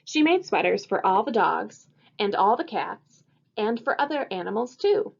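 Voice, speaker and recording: A woman's voice: medium pitch, sing-song, moderate pace, vocal fry. A woman who teaches first grade, reading a picture book aloud expressively for children. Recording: dull lo-fi audio.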